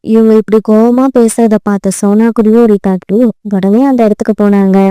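A woman's voice: mid-range voice, level tone, a little fast, warm tone, clean tone, dry recording. A woman narrating a children's story from a script.

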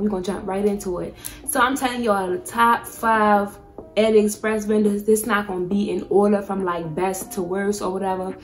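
Slangy, candid young woman vlogger over music. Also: mid-range voice. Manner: slangy, candid, frequent swearing. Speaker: beauty vlogger. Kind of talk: casual chatty product review.

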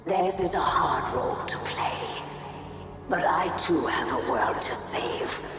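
A woman playing a cold, regal, otherworldly ruler in a video game, solemn, measured and imperious. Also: deep voice, lively intonation. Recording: echoing hall, distant, under loud music.

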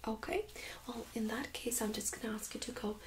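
A young woman whispers softly and breathily in a higher-pitched voice, gentle and unhurried. She plays a medical examiner in an ASMR role-play. Noise and small clicks sit clearly behind her voice. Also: level tone. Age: young adult. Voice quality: soft, breathy, mostly whispered. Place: small carpeted exam room, indoors. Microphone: USB microphone on a stand nearby.

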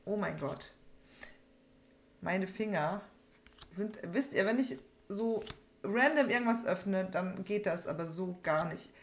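A young woman talks in a rambling, informal way as she opens card packs. She often repeats herself. The room is echoey, with clear noise behind her. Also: medium pitch, takes it slowly, pauses often. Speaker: trading card opening host. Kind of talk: casual chat while opening card packs. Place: small room at home, indoors. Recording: roomy echo, background noise, card crinkling.

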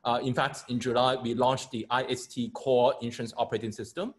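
A man's voice: medium pitch, flat delivery, fairly quick. A middle-aged man from Hong Kong, an insurance company founder, introducing his firm hesitantly with many fillers over a video call. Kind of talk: panel self-introduction.

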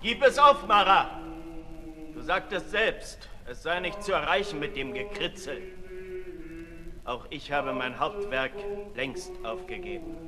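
A man's voice: on the high side, expressive, measured pace. A man playing a cynical, disillusioned aristocrat writer in a 1960s German radio drama, theatrical and mocking. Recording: echoey hall, noisy 1960s radio recording.

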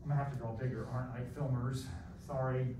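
A middle-aged American man lecturing as a college math teacher in an animated, rambling, self-deprecating and theatrical way. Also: mid-range voice, fast talker. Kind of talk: classroom lecture with rambling asides. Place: classroom, masked, in front of students. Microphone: far camera at back of room. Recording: roomy echoey classroom, distant mic, background noise.

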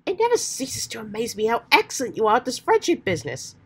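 A woman voicing an earnest, excitable, old-fashioned adventurous teenage boy with hammy, folksy slang, while getting over a cold. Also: fairly high pitch, quick pace. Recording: faint background noise.

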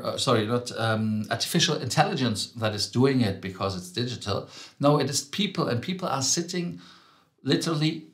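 Non-native English man hosting travel news. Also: mid-range voice. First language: not English. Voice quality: non-native English, self-correcting and chatty asides. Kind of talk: casual news commentary to camera. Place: studio with grey wall, indoors. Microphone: fixed camera, seated.

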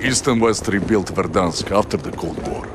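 An actor playing a world-weary, sardonic man in a video game, deadpan and unhurried. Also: low voice, lightly acted. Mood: dry, sardonic. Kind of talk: video game cutscene acting. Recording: loud music, vehicle noise behind.

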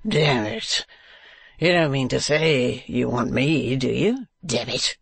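A male audiobook narrator voicing a vain, foppish gentleman with a mincing, affected manner. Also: medium pitch, animated, slow, creaky, slightly rough, no room sound, full character voice. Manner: mincing, affected, swearing lightly. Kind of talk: audiobook character reading.

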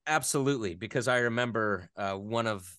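Smooth, low-voiced man chatting casually. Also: brisk, a bit bright, no room sound, studio quality. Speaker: interview guest, voice actor. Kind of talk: casual interview chat.

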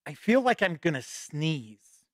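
A man's voice: a little high, sing-song, measured pace, fairly bright tone, no echo, studio quality. Chatty middle-aged man, slightly stuffy. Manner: chatty and upbeat, reading news with asides. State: a little stuffy with a starting cold. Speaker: host and editor-in-chief of a tabletop gaming news show. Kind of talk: casual live-stream news chat. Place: home studio with shelves of games behind. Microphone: studio mic on boom arm close to mouth.

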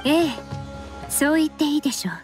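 Young female perfumer, high voice, poised, courteous, soft-spoken, formal, anime game. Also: expressive, a little fast, over music. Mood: polite, gracious.

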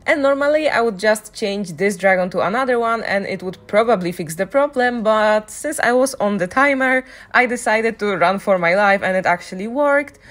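A woman's voice: mid-range voice, expressive, moderate pace, hardly any pauses, fairly bright tone, faint music behind. A woman giving casual walkthrough commentary as a gaming guide, speaking in voice-over. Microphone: voice-over on a microphone, game music behind.

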